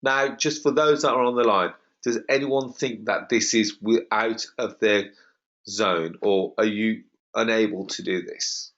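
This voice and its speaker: A slightly croaky man pitches deals to a webinar audience with confident, salesy emphasis. His voice sits fairly low. The call audio is dull and lo-fi. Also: fairly even intonation, unhurried, fairly bright tone. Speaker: webinar host. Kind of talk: online property webinar talk.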